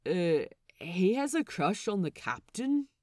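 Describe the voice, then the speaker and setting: A woman's voice: lowish voice, big pitch swings, takes it slowly, darkish tone, no echo, clean studio sound. A woman voicing a mild, practical male pirate crewman who speaks hesitantly, with the cadence of a question. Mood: unsure.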